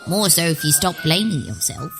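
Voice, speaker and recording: Actress as eerie little girl, imperious, prim, slightly low pitch, live horror drama. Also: very animated, moderate pace, over music. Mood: stern. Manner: prim, imperious, crisply commanding. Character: stern, eerie little girl keeper of a supernatural lift. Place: theatre stage, live performance.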